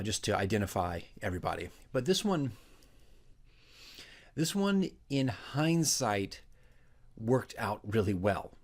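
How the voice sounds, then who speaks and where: A man talking casually and off the cuff as a dungeon master introducing his work, in a halting, rambling way. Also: on the low side. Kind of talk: casual unscripted chat.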